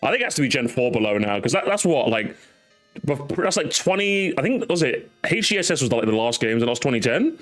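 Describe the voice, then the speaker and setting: Animated fast-talking man in his thirties. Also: mid-range voice, faint music behind. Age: 30s. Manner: animated, swearing, quick to laugh. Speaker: streamer host. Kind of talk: casual chat on a livestream.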